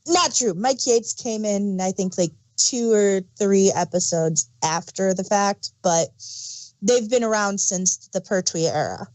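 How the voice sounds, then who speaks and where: A woman with a mid-range voice talks slowly in a dry, echo-free recording. She co-hosts a podcast, casually explaining details and correcting herself as she goes. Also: mid-range voice, darkish tone. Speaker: podcast co-host reviewing audio dramas.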